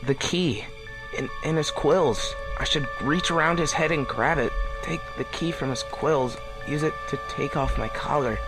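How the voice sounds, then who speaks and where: A man playing a cocky, defiant young hedgehog hero with a taunting, drawn-out delivery in an amateur fan fiction drama. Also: fairly high pitch, close to an everyday voice, poor audio. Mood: hushed confusion. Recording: loud music behind the voice.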